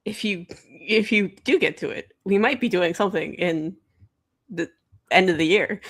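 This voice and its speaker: A woman's voice: medium pitch, moderate pace. A woman co-hosting a book discussion, chatting casually and informally. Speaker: book readalong co-host. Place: online livestream.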